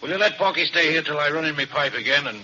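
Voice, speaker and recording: An actor playing a gruff, impatient drilling foreman in a 1940s radio drama, brusque and bossy. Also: fairly high pitch, fast talker, sharp tone, slight background noise. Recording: dull 1940s radio broadcast.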